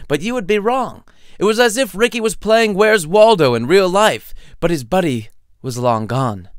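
A man's voice: on the high side, a bit bright, clear, resonant tone, no room sound, clean studio sound. A man reading his own short story as scripted audiobook narration, with a wry, playful storytelling manner.

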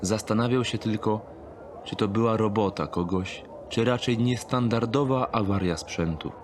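A man narrates a dark thriller audio drama from a script. His voice sits on the low side. There is light background noise and ambience beneath the reading. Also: normal speed.

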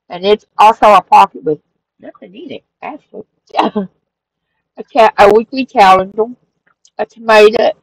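Slow-talking woman showing crafts. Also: medium pitch, dry recording, poor audio. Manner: relaxed, rambling, with fillers and self-corrections. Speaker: crafting channel host. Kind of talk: casual craft flip-through narration. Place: craft room at a table.

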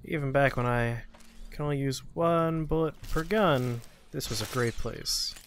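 A man's voice: medium pitch, measured pace. Man commentating casually over noisy gameplay. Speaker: host of a gaming show.

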